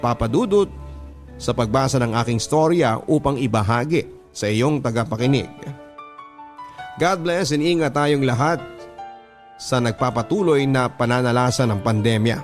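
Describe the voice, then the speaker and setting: A man with a Filipino accent hosting a radio story program, reading listener letters as dramatic first-person narration. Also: mid-range voice. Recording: music under the voice. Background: background music.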